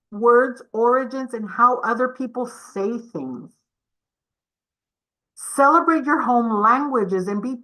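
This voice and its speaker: Bright, slow-spoken woman presenter. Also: fairly high pitch, poor audio. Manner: encouraging, addresses audience directly with questions. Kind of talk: community webinar presentation.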